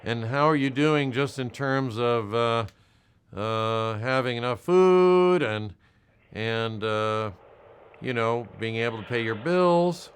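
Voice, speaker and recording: An American man speaking as a volunteer caller, courteous and measured, with hesitant pauses as he talks. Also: mid-range voice, a little hiss or hum. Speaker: volunteer caller doing a welfare check. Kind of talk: gentle check-in questions and spoken case notes.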